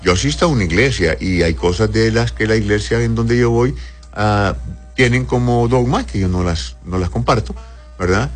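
A very low-voiced man, a doctor who reads out viewers' messages and answers each one patiently. He calls people "corazón" as he advises them. Quiet music plays under his voice. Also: moderate pace. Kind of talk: live call-in health advice show.

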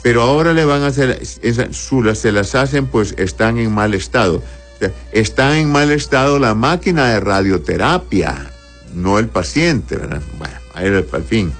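Deep-voiced Honduran man giving medical advice. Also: expressive, moderate pace, faint music behind. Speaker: doctor answering audience health questions. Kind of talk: informal medical advice, reading questions aloud.

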